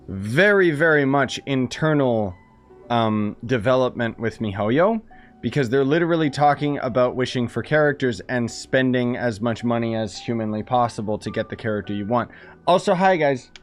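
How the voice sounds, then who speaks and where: A man chats casually on a game livestream at an ordinary pitch. He has a sardonic, grumbling way of talking, and music plays faintly behind him. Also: lively intonation, measured pace.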